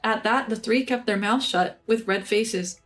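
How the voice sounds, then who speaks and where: A woman narrating a scripted first-person revenge story in an even, measured reading. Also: fairly high pitch, soft background music.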